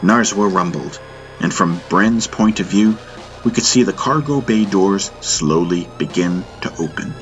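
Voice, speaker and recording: Deep-voiced man narrating sci-fi over music. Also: measured pace, dull audio. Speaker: audiobook narrator. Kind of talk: dramatic scripted sci-fi audiobook narration.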